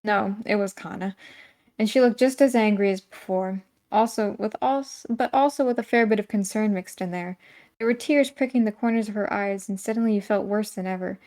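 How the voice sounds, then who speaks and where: A woman's voice: mid-range voice, a little fast, a little mellow. A woman co-hosting a read-aloud, moving between story narration and casual banter. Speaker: co-host of a read-aloud series.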